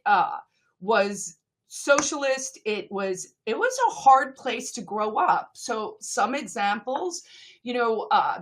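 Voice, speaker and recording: Lively South African woman telling her story. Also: on the high side. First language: Afrikaans. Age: middle-aged. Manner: lively, laughs between points, animated asides. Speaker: interview guest. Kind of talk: conversational interview storytelling.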